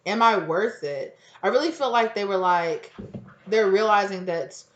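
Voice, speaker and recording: Hoarse woman rambling through a tarot reading. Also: mid-range voice, lively intonation, unhurried. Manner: rambling, slangy, acts out quotes. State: hoarse, nearly losing her voice. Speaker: tarot reader. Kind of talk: casual channeled tarot reading. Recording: echoey, dull lo-fi room recording.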